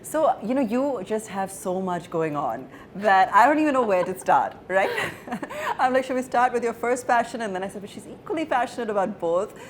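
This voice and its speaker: A woman's voice: mid-range voice, big pitch swings, moderate pace, a little hiss or hum. A woman hosting an interview show, introducing her guest and asking questions in an encouraging way. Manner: warm, encouraging, laughs easily. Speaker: host.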